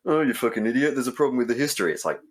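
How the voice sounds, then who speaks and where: A man's voice: mid-range voice, lively intonation, rapid-fire. A man who develops and writes games, talking casually in an interview.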